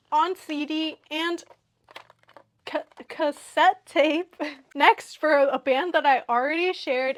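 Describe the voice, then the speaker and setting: A woman's voice: sits high, a bit bright. A young woman vlogger chatting casually to camera in an upbeat, giggly way. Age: young adult. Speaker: vlogger showing a record collection. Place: small furnished living room, indoors. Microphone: small clip-on lavalier mic at chest.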